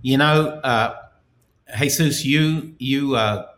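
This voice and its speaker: A man's voice: medium pitch, slow pace, a bit bright. A man co-hosting a podcast, asking friendly, loose questions in casual chat. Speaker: podcast co-host. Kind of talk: casual interview.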